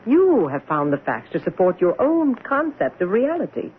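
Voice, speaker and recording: Scheming, domineering doctor; radio drama, swooping high male voice, clinical and cutting. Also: wildly swooping pitch, normal speed. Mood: stern, belittling. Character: domineering psychiatrist, coolly belittling, secretly scheming. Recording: tinny old radio broadcast, faint hiss.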